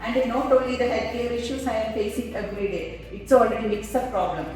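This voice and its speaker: A woman who works as a nursing supervisor, answering interview questions in halting, earnest English that is not her first language. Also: on the high side, a little mellow. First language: not Arabic. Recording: echoey room with long reverb, distant mic.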